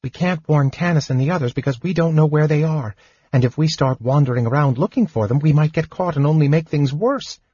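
A man voicing a high, husky little kender. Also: warm tone, no room sound, lo-fi sound. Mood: wistful. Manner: eager rushing speech turning sober. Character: small earnest male kender, wistful and brave.

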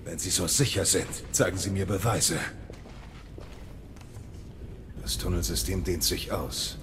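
An actor in a German audio drama playing a gallant, guarded Victorian knight with formal, courteous speech. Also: male voice, sits low, fairly even intonation, normal speed, background noise, lightly acted. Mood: guarded, grave.

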